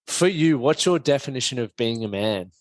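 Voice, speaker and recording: A man hosting a podcast, relaxed, upbeat and chatty. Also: mid-range voice, normal speed, no room sound. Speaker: podcast host. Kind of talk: casual conversational interview.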